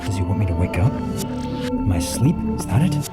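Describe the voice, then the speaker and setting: Guy playing a desperate sci-fi crewman, low voice that swings all over, loud music under it. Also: sing-song, normal speed. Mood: anxious, puzzled. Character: desperate young male spaceship crewman, pleading inner voice. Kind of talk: audio drama acting.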